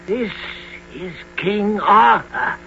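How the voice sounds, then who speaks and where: An actor playing an old wizard who narrates a knightly adventure in a grave, dramatic, drawn-out radio drama style. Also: male voice, high voice, lively intonation, creaky, exaggerated voice. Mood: solemn, urgent. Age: older. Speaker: narrator and character. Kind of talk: theatrical old-time radio drama storytelling. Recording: dull radio broadcast, loud music.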